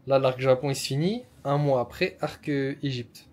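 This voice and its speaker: A man's voice: mid-range voice, normal speed, a little mellow. A young man, chatting on a travel vlog, playful and slangy, laughing often and teasing a friend. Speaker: travel vlogger. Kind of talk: casual vlog chat, teasing his friend. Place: streets and hotel in a city.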